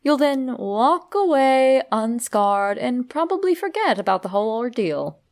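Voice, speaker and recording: Gravelly-edged woman narrating horror, unhurried. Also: on the high side, sing-song, a little mellow. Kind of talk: scripted creepypasta narration, addressed to the listener.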